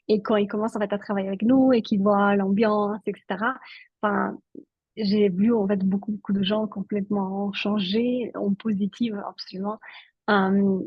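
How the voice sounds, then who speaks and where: A woman's voice: medium pitch, a little mellow, husky, no echo, highs cut off. A woman from Switzerland whose first language is Italian, speaking as an interview guest in a chatty way, with many filler words. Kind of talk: casual interview talk.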